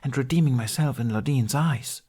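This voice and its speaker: A man putting on a high, theatrical lady knight. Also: unhurried, a little mellow. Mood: heroic declaiming. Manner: declamatory, archaic stage speech. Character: theatrical fairy woman acting a gallant, bombastic knight.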